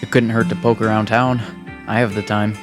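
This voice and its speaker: A man's voice: lowish voice, flat delivery, a little fast, music in the background, natural voice. A man playing a mild, hesitant young protagonist in a visual novel fan dub. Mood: thoughtful.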